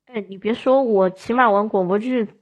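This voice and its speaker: A woman's voice: medium pitch, moderate pace, highs cut off. A woman talking casually as a speaker on a podcast, in an everyday conversational way.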